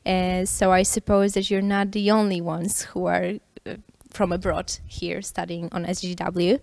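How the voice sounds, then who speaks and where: A woman's voice: on the low side, gravelly, darkish tone, no echo. Hesitant woman interviewer, dry sound. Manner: hesitant, many fillers and restarts. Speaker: interviewer host. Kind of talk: studio interview.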